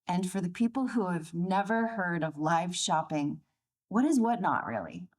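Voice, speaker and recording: A woman's voice: on the low side, expressive, normal speed, a little mellow. A woman hosting a podcast, reading a scripted intro and asking questions in an upbeat, eager way. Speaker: podcast host.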